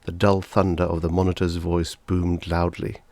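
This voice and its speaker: A man's voice: low voice, level tone, moderate pace, darkish tone, no room sound. A man narrating fiction as a scripted audiobook reader.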